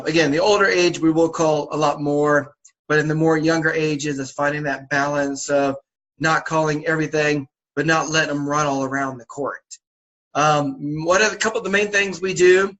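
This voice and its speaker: A man with a sore throat, sniffling a little, briefing volunteer youth basketball coaches over a video call in a relaxed, repetitive way with many fillers. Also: a little high, low-quality recording. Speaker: youth basketball league organizer.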